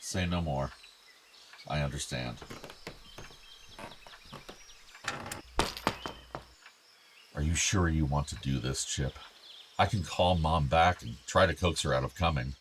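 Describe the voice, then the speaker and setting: A deep-voiced, regretful older father. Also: moderate pace, fairly bright tone, a little hiss or hum. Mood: mild, worried. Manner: apologetic, hesitant. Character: regretful, meek father of two grown sons. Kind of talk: naturalistic radio play acting.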